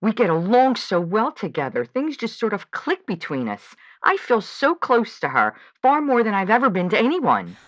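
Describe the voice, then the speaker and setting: A woman's voice: mid-range voice, big pitch swings, moderate pace, few pauses, no room sound, lightly acted. A woman playing an earnest, lovelorn and anxious caller in a radio drama, speaking hesitantly and with open feeling. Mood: earnest, fond. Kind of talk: scripted audio drama.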